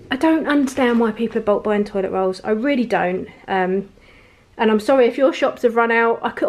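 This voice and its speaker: A woman's voice: on the high side, darkish tone. Chatty woman showing off groceries. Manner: chatty, rambling, laughs often. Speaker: vlogger. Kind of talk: casual grocery haul chat. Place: home, at a table of groceries. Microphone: camera pointed at the table, speaker off screen.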